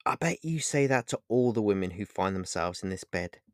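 A man's voice: lowish voice, animated, fairly quick, dry recording, close to an everyday voice. A man plays a woman in love in a romance story. She stammers and doubles back on her words with open feeling. The recording is dry. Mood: teasing. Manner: stammering, breaks off and repeats. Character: playful, apologetic woman in love, Olivia. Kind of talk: dramatised romance audiobook dialogue.

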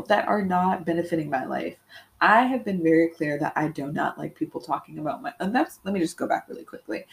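Young woman, low pitch, gravelly fry, congested, candid podcast talk. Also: darkish tone. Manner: candid, reflective, with frequent ums. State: congested with sniffles. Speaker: podcast host. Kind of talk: solo podcast monologue.

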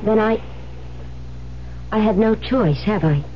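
An American actress playing a frightened, anxious new bride who speaks hesitantly and evasively, in 1970s radio drama style. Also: female voice, medium pitch, slow pace, natural voice. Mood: anxious, resigned. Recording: muffled, hissy old radio broadcast with hum.

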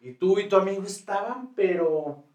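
Roomy, higher-pitched Mexican man, joking podcast host. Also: normal speed, darkish tone. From northern coastal Mexico. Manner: joking, slangy, rambling storyteller. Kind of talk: casual comedic chat. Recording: roomy echo, distant mic, music behind.

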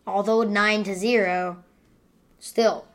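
A woman hosting a casual sports podcast, talking loudly and excitably and teasing her guest. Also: medium pitch, takes it slowly. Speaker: podcast host. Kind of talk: casual sports picks chat.

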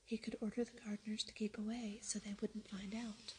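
An eager, earnest young girl speaks in a soft hush, as a woman reading a storybook whispers her. Her whisper stays level, without much rise or fall. A faint hiss lies under it. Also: medium pitch, little pitch movement, fairly quick, natural voice.

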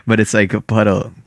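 A man on a podcast telling stories in a slangy, profane, animated way. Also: on the low side, no echo. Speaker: podcast co-host. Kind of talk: casual storytelling chat.

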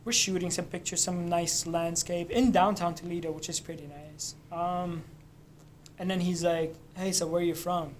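A man's voice: high voice, fairly even intonation, normal speed, a little hiss or hum. A young man from Lebanon chatting casually, telling stories in a loose, profane way. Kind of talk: casual chat.

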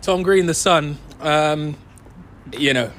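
Male podcast host, high and bright voice, casual post-match interviewer who repeats himself. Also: takes it slowly, slight background noise. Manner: repeats phrases. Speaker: host of amateur football podcast.

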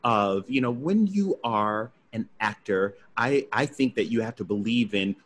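Male guest actor, deep breathy baritone, reflective and anecdotal. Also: lively intonation, measured pace. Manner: reflective, acts out his own past thoughts. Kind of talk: interview storytelling.

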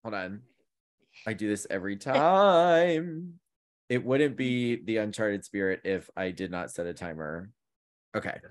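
A man in his thirties co-hosting a podcast, telling stories in an animated, chatty way with lots of fillers. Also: lowish voice, unhurried. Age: 30s. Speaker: podcast co-host; counseling student. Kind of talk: casual chat.

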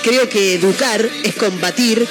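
A man with an Argentine accent hosting a casual radio show from a studio, chatting and reading listener messages, with a halting manner full of fillers and self-corrections. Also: high-pitched, over music. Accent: Argentine Spanish. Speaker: radio host.

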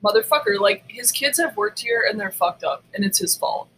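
Bright-voiced, blunt, sweary woman podcaster. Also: medium pitch, lively intonation, normal speed, a little hiss or hum, poor audio. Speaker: podcast co-host. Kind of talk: casual, profane storytelling chat.

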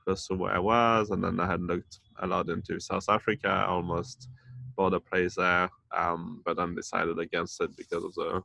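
Man, very low voice, gravelly, bright edge, hesitant casual talk, dry sound. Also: level tone, normal speed, no echo. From France and Denmark. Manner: hesitant, many fillers and restarts. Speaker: community founder, podcast guest. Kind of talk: casual interview chat.

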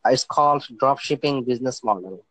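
A young man from Bangladesh talks as a guest over a remote call, pausing often with fillers and restarting his sentences. His voice sits at a middling pitch and sounds dry and close, with no room around it. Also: a bit bright, no echo, low-quality recording. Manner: hesitant, many fillers and restarts. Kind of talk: casual interview talk.

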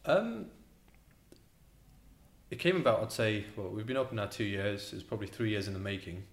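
Hesitant low-voiced man in an echoey room. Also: expressive, moderate pace. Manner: hesitant, repeats words, many ums. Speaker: restaurant co-owner, guest. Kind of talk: casual interview answers. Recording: roomy echo.

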